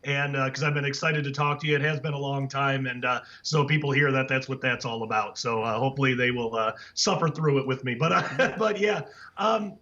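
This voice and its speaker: A man speaking as a guest author, hoarse and raspy after the flu, earnest and full of fillers and restarts. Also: mid-range voice, fairly quick, pushed and bright. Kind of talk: casual interview talk. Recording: dull lo-fi audio.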